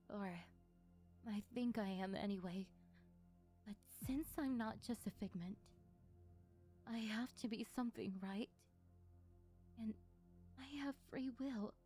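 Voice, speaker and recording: A woman voicing a lonely, needy female sleep paralysis demon in an intimate ASMR roleplay, hesitant and soft-spoken. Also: mid-range voice, lightly acted. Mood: halting, anxious.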